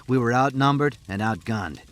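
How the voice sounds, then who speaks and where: An actor playing a blunt, confident soldier in a game, speaking in a plainspoken way. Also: male voice, medium pitch, moderate pace, lightly acted. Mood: insistent, confident. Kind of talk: scripted military game dialogue. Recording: faint ambient noise behind.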